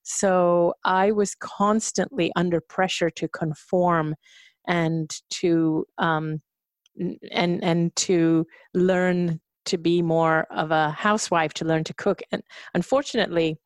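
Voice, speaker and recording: A woman from Hong Kong with a fairly low voice speaks as an interview guest. She tells her story hesitantly, with frequent pauses and restarts. The recording sounds dry and close. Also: fairly even intonation, dry recording. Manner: hesitant, with many fillers and restarts.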